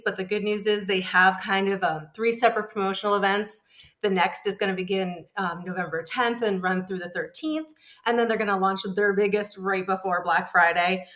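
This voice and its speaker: A woman's voice: mid-range voice, fairly bright tone. A woman columnist giving conversational, explanatory answers as an interview guest.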